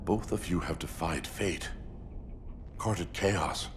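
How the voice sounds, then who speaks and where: An actor playing a weary, remorseful sorcerer in a video game, speaking in a solemn, subdued way. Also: male voice, low-pitched, takes it slowly, background noise. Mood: grave warning. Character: weary, remorseful male sorcerer giving grave warnings. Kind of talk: dramatic video game acting.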